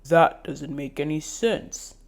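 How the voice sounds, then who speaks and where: A woman voicing a stern, sarcastic young man who speaks low and clipped. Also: takes it slowly. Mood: mocking. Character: stern, mocking young man, deep-voiced captor.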